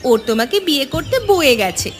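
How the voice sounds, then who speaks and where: A woman plays a young village girl in a cartoon, pitched very high. She is outspoken and loyal, firing back questions and retorts over clear background music. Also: big pitch swings, fairly quick. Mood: defensive. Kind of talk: cartoon voice acting.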